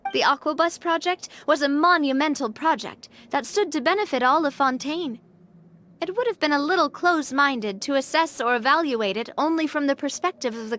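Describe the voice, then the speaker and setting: Young female gang president, high voice, sincere, self-conscious, soft game music behind. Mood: reflective. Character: young woman, warm-hearted gang president. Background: game soundtrack music.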